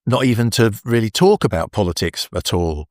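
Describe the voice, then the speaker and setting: An older man with an English accent, talking as an interview guest in a relaxed, halting way full of restarts. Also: fairly low pitch, dry recording, studio quality. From England. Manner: hesitant, many restarts and fillers. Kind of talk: casual interview.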